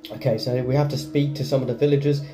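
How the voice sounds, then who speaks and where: A man's voice: medium pitch, little pitch movement, fast talker, mellow. A man giving casual commentary as he plays through a game's story quest. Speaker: gaming video creator playing through a story quest. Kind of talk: casual game playthrough commentary. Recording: game music behind the voice.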